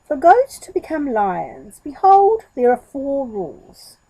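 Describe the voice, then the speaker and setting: A woman's voice: sits high, big pitch swings, slow pace, mellow, no room sound, pitched above the performer's own voice, exaggerated voice, rough recording. A woman voicing a knowing male monkey in a children's story, lecturing with dramatic deliberation. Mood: instructing.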